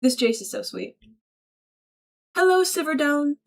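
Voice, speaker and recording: Female streamer, higher-pitched, informal and slangy, chummily thanking and answering her chat. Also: sing-song, measured pace, vocal fry. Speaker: livestreamer greeting chat. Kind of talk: casual livestream chat, reading and answering viewer messages aloud.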